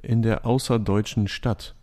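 A young man hosting a podcast quiz, reading out clues in a calm, measured way. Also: lowish voice. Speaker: quiz host.

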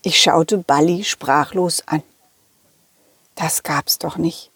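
A woman's voice: sits low, slow, fairly bright tone, breathy edge, no room sound. A woman narrating a children's story expressively as the storyteller. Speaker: storyteller and puppeteer.

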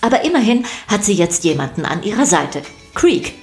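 A woman narrating a scripted children's story podcast, expressive and speaking directly to the listeners. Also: mid-range voice, moderate pace, soft background music.

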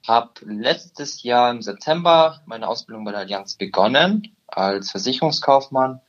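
A man's voice: mid-range voice, expressive, a little slow, muffled recording. A nineteen-year-old man from Upper Bavaria, a guest on a podcast, answering with many hesitant fillers. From Upper Bavaria, Germany. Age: teens. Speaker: guest, insurance trainee. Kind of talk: podcast interview.

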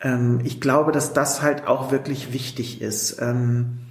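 A man's voice: medium pitch, level tone, slow, echoey room. A man talking as an interview guest in a casual, hesitant and reflective way.

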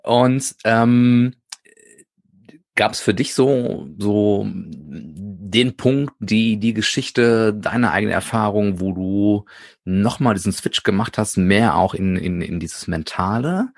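Interviewer, very low gravelly voice, hesitant questions, dry sound. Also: male voice, takes it slowly, no room sound. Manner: hesitant, searching for words.

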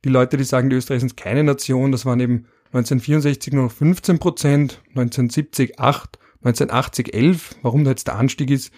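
A man hosting a podcast in a relaxed, conversational way. He reads out survey numbers one after another and pauses now and then to muse on them, with a wry edge. His voice sits at an ordinary middle pitch. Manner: reads out statistics, musing and wry. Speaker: podcast host.

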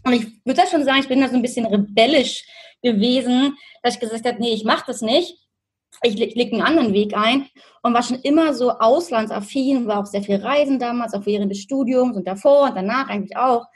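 A woman in her thirties from Berlin, a guest telling her story in a relaxed, chatty way, with many fillers and restarts and frequent laughs. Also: on the high side, a little fast, breathy edge. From Berlin, Germany. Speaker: interview guest. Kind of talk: casual conversational storytelling. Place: in Australia.

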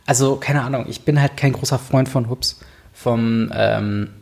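A young man co-hosting a chatty podcast. He rambles in a relaxed way, stacking fillers and restarts as he thinks aloud. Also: mid-range voice, a little slow, gravelly. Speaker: podcast co-host. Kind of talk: casual chat.

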